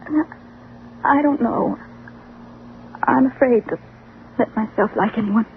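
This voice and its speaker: A woman's voice: fairly high pitch, takes it slowly, vocal fry. An actress playing a guarded, hesitant young woman in an old-time radio drama. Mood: hesitant, afraid. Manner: hesitant, reserved. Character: guarded, fearful young woman, reluctant to trust. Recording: tinny, noisy vintage radio recording.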